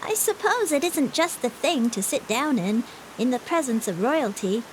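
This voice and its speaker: A woman's voice: high voice, lively intonation, normal speed, a little hiss or hum, voice pushed up, low-quality recording. Woman doing a solemn little girl voice. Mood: earnest. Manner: earnest, precise, grave. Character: solemn pale little girl, earnest and proper. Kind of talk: audiobook reading.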